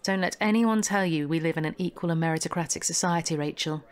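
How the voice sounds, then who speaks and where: A woman's voice: deep voice, quick pace, clean tone, natural voice. An actress playing a polished, evasive young professional woman in a naturalistic audio drama, poised and faintly condescending. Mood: smoothly confident.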